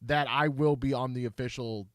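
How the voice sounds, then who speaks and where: A man's voice: medium pitch, steady tone, moderate pace, fairly bright tone, dry recording. Man in his thirties, podcast co-host, easygoing banter, close dry mic. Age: 30s. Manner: laughs often, loose and playful. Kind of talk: casual chat.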